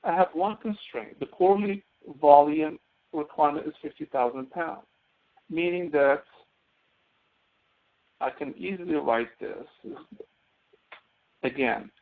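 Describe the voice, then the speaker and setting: A man's voice: a little high, expressive, measured pace, creaky, pauses often. A man giving a step-by-step tutorial lecture as an instructor, often asking questions and answering them himself. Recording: narrow phone-like audio.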